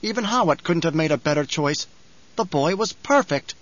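An uneasy young nobleman and schemer performed by a male narrator. He keeps a courtly, deferential surface over obvious nerves. The pitch is lifted, and the recording is dry and a little dull. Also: lively intonation, moderate pace, a bit bright, dry recording. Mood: anxious. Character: young scheming heir, anxious beneath courtly composure. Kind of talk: audiobook character voice. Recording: slightly dull, lo-fi audio.

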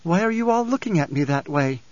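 A man's voice: fairly high pitch, animated, brisk, no room sound, lo-fi sound, voice pushed up, close to an everyday voice. A man voicing a youthful woman with little-girl tones in an audiobook, plaintive, lilting and pleading. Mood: bewildered, pleading.

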